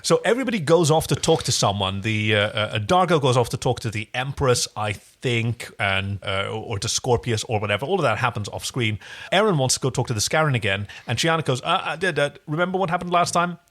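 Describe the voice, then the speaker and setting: A man's voice: medium pitch, expressive, brisk, fairly bright tone. A man co-hosting a podcast with casual, enthusiastic, wordy recap chat about television. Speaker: podcast co-host.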